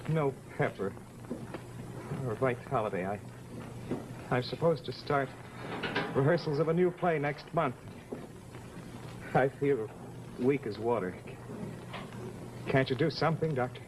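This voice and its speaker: A man's voice: mid-range voice, lively intonation, measured pace, pauses often, lightly acted. An actor playing a male stage actor in an old-time radio drama, with polished dramatic delivery. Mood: weak, despairing. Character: suave, condescending male stage actor, later ailing. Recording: noisy old radio recording.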